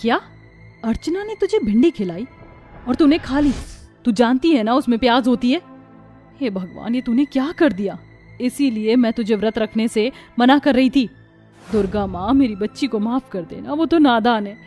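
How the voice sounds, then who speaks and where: A woman voicing a pious, long-suffering young mother in a cartoon, gentle, earnest and pleading. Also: fairly high pitch, animated, moderate pace, faint music behind, lightly acted. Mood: distressed pleading. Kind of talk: Hindi cartoon voice acting.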